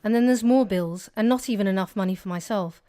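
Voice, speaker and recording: A woman's voice: medium pitch, a little fast, mellow, clear, resonant tone, close to an everyday voice. An actress playing a nagging, world-weary, put-upon pub landlady in an audio drama. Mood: exasperated.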